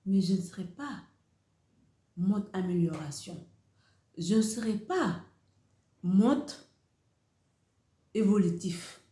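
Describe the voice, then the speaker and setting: Slow-speaking woman, echoey room. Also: mid-range voice, mellow. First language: Wolof. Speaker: host of a motivational channel. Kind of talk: motivational talk to camera.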